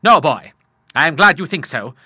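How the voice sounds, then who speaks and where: A man voicing a jovial old retired admiral who speaks in a loud, hearty, booming way. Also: lively intonation, normal speed, breathy edge, no echo, clean studio sound, full character voice.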